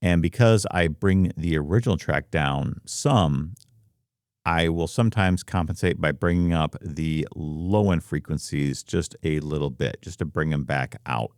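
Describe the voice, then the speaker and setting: Extremely deep, gravelly-voiced man. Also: a little slow, no room sound, clean studio sound. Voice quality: very deep, rumbling. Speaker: content creator, streamer. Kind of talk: casual explainer to viewers. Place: home office. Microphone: close studio microphone.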